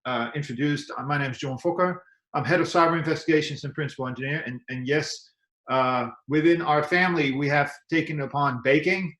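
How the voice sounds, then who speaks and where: A man's voice: medium pitch, fairly bright tone. Dutch-accented man in a roomy room. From the Netherlands. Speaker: cybersecurity presenter. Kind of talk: webinar presentation. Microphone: video call mic. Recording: roomy call audio.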